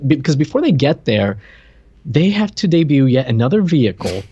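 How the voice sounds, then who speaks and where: A man's voice: on the high side, big pitch swings, fairly quick, warm tone, slightly rough, dry recording. A man co-hosting a casual, conversational movie discussion over a video call. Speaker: podcast co-host. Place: indoor room, on a video call. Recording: dull, dry video-call audio.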